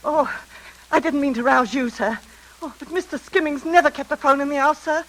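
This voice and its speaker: Shrill, distraught English housekeeper. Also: female voice, fairly quick, a bit bright, a little hiss or hum, low-quality recording. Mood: flustered. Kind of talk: 1940s radio drama acting.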